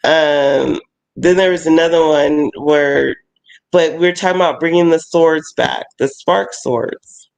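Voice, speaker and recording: Gravelly woman chatting casually. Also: low voice, slow, darkish tone, low-quality recording. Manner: rambling, many fillers and restarts. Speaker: guest, haunted attraction performer. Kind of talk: casual chat.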